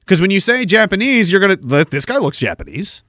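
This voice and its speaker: A man's voice: high-pitched, very animated, fairly quick, pushed and bright, no room sound, clean studio sound. A Canadian man hosting a podcast, ranting sarcastically with rhetorical questions while reacting to a clip. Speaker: podcast host. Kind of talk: ranting commentary over a played clip.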